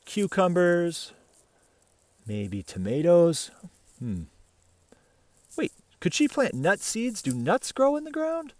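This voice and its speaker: A man reads a picture book to children with a very animated, swooping delivery. His voice sits fairly high and sounds close and dry, and he playfully steps aside to chat with the young listener. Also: no echo. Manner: playful, asks the listener questions. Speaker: picture-book reader, host. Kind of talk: animated children's read-aloud with chatty asides.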